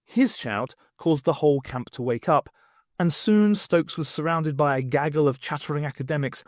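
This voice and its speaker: A man narrating fiction as an audiobook reader, in an expressive scripted storytelling style. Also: a little high, moderate pace, dry recording, clean studio sound.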